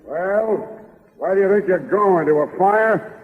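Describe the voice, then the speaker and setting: An actor playing a slangy, blustering traffic cop with a husky voice in a 1930s radio comedy. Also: male voice, sits high, a little slow. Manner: uneducated, slangy speech. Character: gruff, blustering traffic cop who turns meek. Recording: muffled old 1930s radio broadcast.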